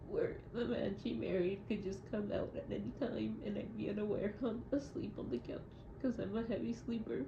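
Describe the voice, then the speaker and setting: Hesitant young woman, muffled noisy echoey recording. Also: on the high side. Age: young adult. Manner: hesitant, halting, reflective. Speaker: audio diarist. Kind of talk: confessional audio diary monologue. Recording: muffled, echoey room with loud noise.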